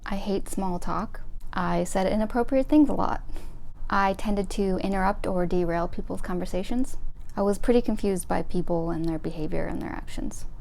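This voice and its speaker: A young woman, talking to the camera in a flat, monotone way about her own life. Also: mid-range voice, vocal fry. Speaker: vlogger. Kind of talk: personal talk to camera.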